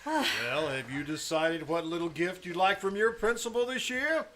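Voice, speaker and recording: Pompous older principal, high bright voice, blustering, self-satisfied, radio comedy, noisy live stage recording. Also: male voice, normal speed. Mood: breezily pompous. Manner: pompous, theatrical, effusive. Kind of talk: old-time radio comedy acting before a live audience. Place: small-town theater stage.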